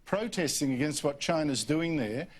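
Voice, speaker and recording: A man's voice: fairly high pitch, animated, moderate pace. A man interviewing a politician, pressing his questions and cutting in. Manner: persistent, interrupting.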